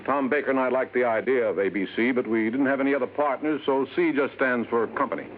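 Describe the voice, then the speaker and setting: A man's voice: medium pitch, fast, natural voice. An actor playing a hearty but hesitant, wealthy American advertising man in a 1950s radio drama. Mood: guarded. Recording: tinny, noisy old radio recording.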